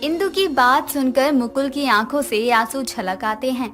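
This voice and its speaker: High-pitched woman narrating a story. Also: a little slow, fairly bright tone, soft background music. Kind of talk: scripted narration of a moral story.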